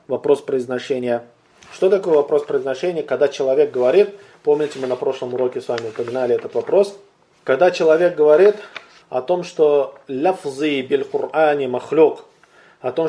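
A man giving a religious lecture, asking and answering his own questions and slowing down to stress key points. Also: mid-range voice, dark tone. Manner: addresses listeners as brothers. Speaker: religious teacher.

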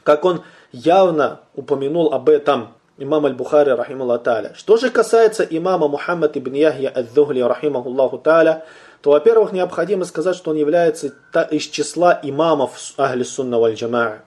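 A man giving a religious lecture, asking and answering his own questions and slowing down to stress key points. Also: fairly high pitch, a little mellow. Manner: addresses listeners as brothers. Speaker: religious teacher.

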